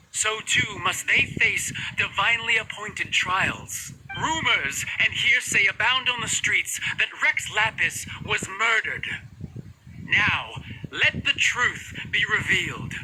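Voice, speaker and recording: A man's voice: sits high, pushed and bright, a little hiss or hum, exaggerated voice, low-quality recording. A man voicing a formal crier making a solemn public proclamation. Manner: slow, ceremonious proclamation. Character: formal male crier at a state funeral. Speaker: herald.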